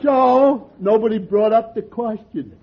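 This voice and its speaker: An actor playing a dim-witted, cheerful barfly in 1940s radio comedy, with a slow, dopey and innocent delivery. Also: male voice, high-pitched, crowd noise. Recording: lo-fi old radio broadcast with hiss.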